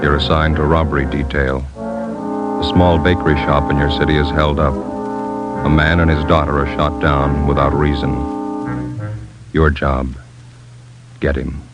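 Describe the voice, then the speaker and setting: Extremely deep, terse American radio announcer. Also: male voice, level tone, measured pace, long pauses, over loud music. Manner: terse, grave. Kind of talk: dramatic 1950s radio opening, terse narration.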